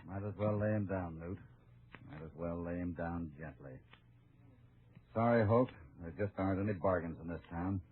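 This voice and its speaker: An actor playing a calm, confident cowboy hero with a dry, easygoing manner in an old-time radio western. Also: male voice, sits low, moderate pace, narrow, tinny audio, close to an everyday voice. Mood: easy, polite. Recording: noisy, thin old radio recording.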